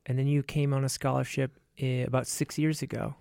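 Gravelly, hesitant male radio host. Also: medium pitch, flat delivery, brisk, darkish tone, no room sound, studio quality. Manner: hesitant, many ums and restarts. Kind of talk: casual radio interview. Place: radio studio.

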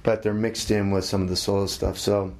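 A middle-aged musician being interviewed, talking casually with many halting restarts. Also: male voice, deep voice, level tone, darkish tone.

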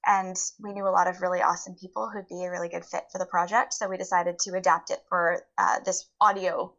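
A woman's voice: fairly low pitch, level tone, fast, gravelly, a bit bright. A woman answering interview questions, explaining things informally as she tells the backstory. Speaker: interview guest, audio drama producer. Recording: dull, lo-fi interview audio.

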